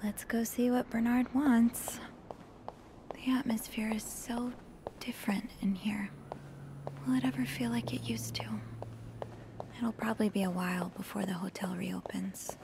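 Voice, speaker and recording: An actress playing a curious, evasive young hotel maid in a mystery game, speaking in an earnest, hesitant way. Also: female voice, fairly high pitch, fairly even intonation, unhurried, gravelly, long pauses, background noise. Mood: pensive, hushed. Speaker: video game character. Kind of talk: narrative mystery game voice acting.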